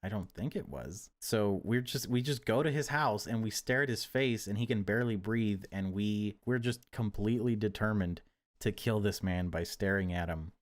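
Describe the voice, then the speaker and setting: A man's voice: fairly low pitch, moderate pace. A man hosting a gaming video, speaking over a horror visual novel he is playing. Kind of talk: dramatic narration of game text, then casual commentary. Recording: music behind the voice. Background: game music.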